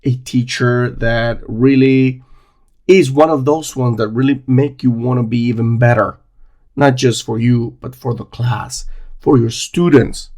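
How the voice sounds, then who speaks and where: A man's voice: mid-range voice, steady tone, unhurried, a little mellow. A man hosting a podcast, introducing his guest and the episode with effusive enthusiasm. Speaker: podcast host.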